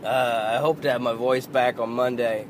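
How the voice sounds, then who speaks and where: A man in his thirties hosting a casual solo podcast, hoarse and losing his voice, rambling and halting, swearing under his breath. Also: mid-range voice, steady tone, slight background noise. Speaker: podcast host.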